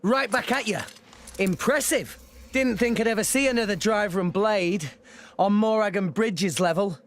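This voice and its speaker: A man's voice: high voice, moderate pace, lightly acted. A man voicing an earnest, plucky teenage hero in a video game, direct and scrappy.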